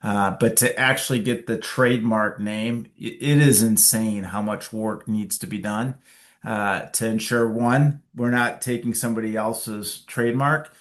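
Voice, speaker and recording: A man's voice: lowish voice, fairly even intonation, unhurried. A man joining an online class remotely as an industry guest, speaking in a friendly, casual way and stumbling a little as he starts a point. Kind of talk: casual remarks on a video call. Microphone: dialed in remotely.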